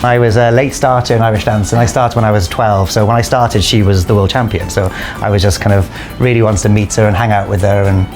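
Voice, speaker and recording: A man's voice: fairly low pitch, steady tone, fast talker, hardly any pauses. A man from Wales, chatting in a casual, self-deprecating way in a documentary interview. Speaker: Irish dancer in a comedy circus show. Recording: music behind the voice. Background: music.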